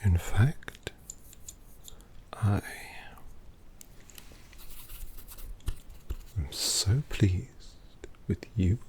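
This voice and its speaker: A suave, possessive vampire artist, played by an ASMR performer, speaks in a very low, breathy voice right up at the microphone. The delivery is extremely unhurried and indulgent, doting on a captive with a tenderness that carries menace. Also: a little mellow, a little hiss or hum. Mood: pleased, doting. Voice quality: whispery, breathy. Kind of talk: ASMR roleplay. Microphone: close-up microphone.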